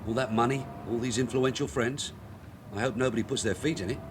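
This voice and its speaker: An actor playing a shrewd, guarded veteran police sergeant in a radio drama, terse and casual. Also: male voice, medium pitch, steady tone, fairly quick, noisy background, lightly acted. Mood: wary. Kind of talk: naturalistic radio drama acting.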